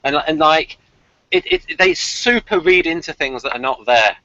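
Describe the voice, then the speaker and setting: A man's voice: a little high, wildly swooping pitch, moderate pace, sharp tone, no room sound, muffled recording. A man hosting a casual fan review, chatty and rambling as he thinks aloud. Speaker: host of a TV review discussion.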